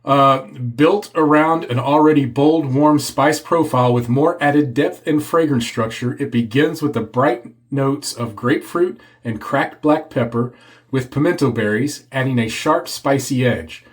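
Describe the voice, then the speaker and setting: A man's voice: mid-range voice, a little slow. Congested man reviewing shave soap. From Texas. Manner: chatty, reads product blurb aloud. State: congested and hoarse. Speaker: shaving channel host. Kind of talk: casual video review. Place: home office.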